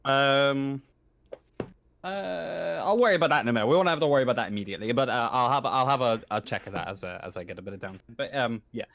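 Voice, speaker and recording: A man's voice: medium pitch, creaky, a bit bright. A man running a tabletop game as game master, improvising and stammering as he rephrases himself. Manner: halting, stammering, rephrasing often.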